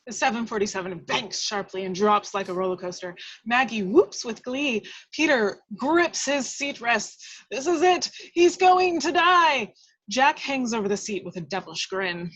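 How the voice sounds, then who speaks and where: A woman's voice: on the high side, expressive, normal speed, fairly bright tone. A woman reading stage directions as the narrator of a script table read, in a dramatic storytelling style.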